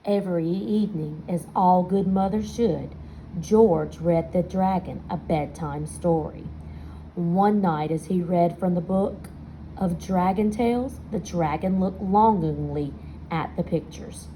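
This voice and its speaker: Woman, mellow and slow-paced, for an expressive children's read-aloud with asides to the listeners; faint noise behind. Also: on the low side, poor audio. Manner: expressive storytelling, pausing to ask listeners questions. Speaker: storybook reader.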